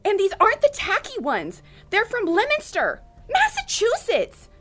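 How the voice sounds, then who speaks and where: A woman's voice: very high-pitched, wildly swooping pitch, a little slow, a bit bright, soft background music, heavily acted. A woman playing a gushing, petty suburban neighbor in a comedic audio drama, melodramatic and theatrical in manner.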